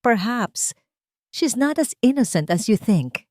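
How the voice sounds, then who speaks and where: A woman's voice: on the high side, big pitch swings, slow pace, darkish tone, no echo, clean studio sound, pitched above the performer's own voice. A woman voicing a smug, rich younger sister who taunts and provokes in a narrated drama. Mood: smug, mocking. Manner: mocking, provocative.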